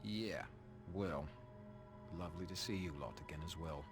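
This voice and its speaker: An actor playing a cynical, cocky English vampire in a video game cutscene, sardonic and full of slang. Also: male voice, low-pitched, normal speed, over music, close to an everyday voice. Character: cynical, cocky British male vampire, roguish reluctant ally.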